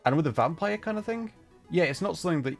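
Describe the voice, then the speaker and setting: A man's voice: fairly high pitch, expressive, quick pace, creaky, faint music behind. A man hosting a casual livestream, rambling thoughtfully as he plays and answers chat, with an easy tendency to chuckle. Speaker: livestreamer and host.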